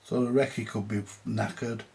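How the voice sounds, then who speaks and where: A man's voice: on the low side, level tone, moderate pace, creaky, a little mellow. A man with a British accent giving casual, hands-on repair commentary, thinking aloud in short, plain-spoken remarks as he works. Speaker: vintage radio repairer. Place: indoors at a repair workbench. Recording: noticeable background noise with clicks.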